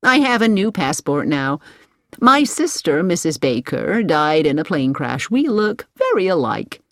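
A woman voicing a brisk, secretive American woman traveler in a storybook, breezy and decisive with a hard edge. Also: low voice, sing-song, a bit bright, dry recording, studio quality, heavily acted. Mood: matter-of-fact.

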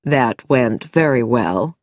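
A woman reading an audiobook voices a smooth, calm, quietly mocking middle-aged male doctor in a lowered voice. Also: takes it slowly, no echo, clean studio sound, heavily acted.

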